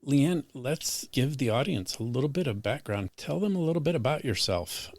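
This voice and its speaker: A man's voice: medium pitch, lively intonation, moderate pace, a little mellow, dry recording. A man hosting a podcast interview, speaking in an earnest, formal and encouraging way. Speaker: podcast host.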